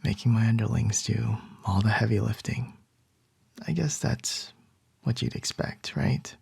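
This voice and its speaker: A man playing a calm, charming male CEO boss in an ASMR roleplay, soft and reassuring with a light teasing edge. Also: lowish voice, measured pace, creaky, slightly rough, no echo, full character voice. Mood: soothing.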